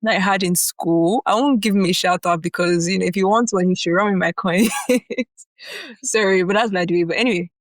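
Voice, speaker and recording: A woman's voice: medium pitch, brisk, dry recording. Young nigerian woman on a podcast, nigerian accent, laughs a lot, says like and you know constantly, dry close mic. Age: young adult. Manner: animated, laughs often, lots of "like" and "you know". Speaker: podcast co-host. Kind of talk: casual chat.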